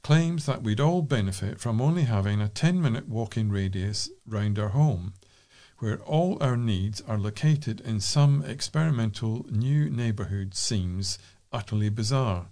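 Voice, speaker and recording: A man reads readers' letters aloud for a local talking newspaper, with expressive emphasis and the occasional stumble. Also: fairly low pitch, measured pace.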